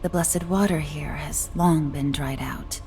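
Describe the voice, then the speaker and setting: A woman's voice: sits low, steady tone, unhurried, darkish tone, a little hiss or hum. A woman playing an earnest, watchful nature-attuned heroine in a video game, speaking in clipped phrases. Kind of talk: video game character acting. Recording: prominent music behind the voice.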